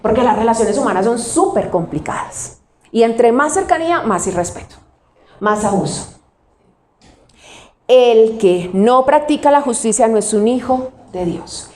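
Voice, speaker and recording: A woman pastor from Colombia with a Cali accent, preaching a sermon. She puts questions to the congregation and answers them herself, and she slows down to stress single words. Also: on the high side, lively intonation, darkish tone, reverberant, slight background noise. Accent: Cali Colombian Spanish.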